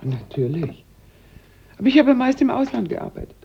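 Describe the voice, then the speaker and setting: A woman's voice: a little high, big pitch swings, moderate pace, creaky. An actress playing a guarded female household employee in a German radio drama, curt and defensive. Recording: dull, faintly noisy radio recording.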